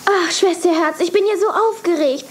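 An actress voicing an excitable, giggly teenage younger sister in an audio drama. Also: female voice, very high voice, normal speed, background noise. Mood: excited. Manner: bubbly, giggly, breathless enthusiasm. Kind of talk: 1980s radio play acting.

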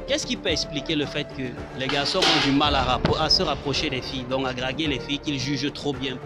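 A man's voice: medium pitch, steady tone. A man in his thirties with a Cameroonian French accent, interviewing passers-by outdoors on a campus and pressing them with repeated questions. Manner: probing, repeats questions, quick to laugh. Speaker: street interviewer. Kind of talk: vox pop street interview. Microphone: handheld phone held out to guests. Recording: loud background music.

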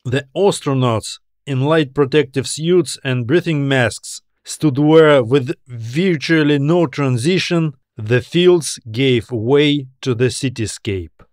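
A man reading a scripted science fiction story as its narrator, with a measured, deliberate delivery. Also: medium pitch, darkish tone, dry recording, studio quality.

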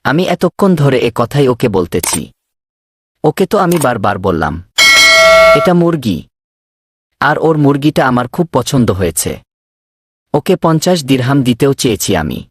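A man voicing a pushy swindler in a cartoon, husky, insistent and wheedling. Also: mid-range voice, big pitch swings, moderate pace, pauses often, heavy background noise, close to an everyday voice. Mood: coaxing. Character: pushy male swindler posing as eager chicken buyer. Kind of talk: cartoon dubbing.

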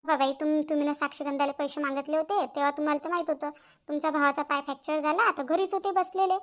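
An extremely high-voiced village wife , played by a woman in a Marathi cartoon. She talks fast and eagerly in a Varhadi accent, always ready to agree and gossip. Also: fairly even intonation, close to an everyday voice. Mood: earnest. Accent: Varhadi Marathi from Vidarbha. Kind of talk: Marathi cartoon family-drama dialogue.

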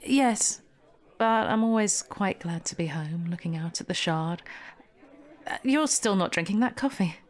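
A woman's voice: medium pitch, lively intonation, unhurried, natural voice. An actress playing a polished, evasive young professional woman in a naturalistic audio drama, poised and faintly condescending. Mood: smoothly confident.

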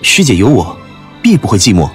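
Devoted young disciple, low-pitched, animated, tender, earnest, wuxia audio drama. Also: male voice, a little fast, faint music behind.

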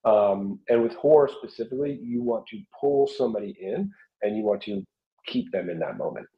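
A man's voice: mid-range voice, brisk. An American man in his thirties explaining things informally as an art instructor in an online workshop, talking through examples. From South Carolina, United States. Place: small home room, indoors. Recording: roomy, slightly dull webcam audio.

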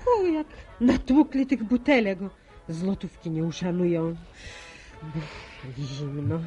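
Pert young village woman with lively pitch. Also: slow, dull audio, soft background music. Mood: teasing, cool. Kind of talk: Polish radio drama acting.

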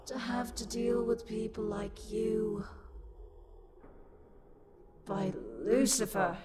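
Casting: actress as a sassy, irate female demon for roleplay audio, higher-pitched, unhurried, scornful delivery over prominent music. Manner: scornful, theatrical, exasperated. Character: angry, haughty, sassy female luck demon. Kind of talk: roleplay audio drama monologue.

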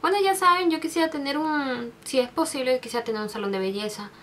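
A woman, chatting softly and answering viewer questions into a webcam, with many restarts. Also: sits high, fast, a little hiss or hum. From Peru. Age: 20s. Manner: soft, sentimental, many restarts and fillers, reads out questions. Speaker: beauty vlogger. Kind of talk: casual Q&A tag video. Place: bedroom with makeup shelves, indoors. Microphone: webcam at desk.

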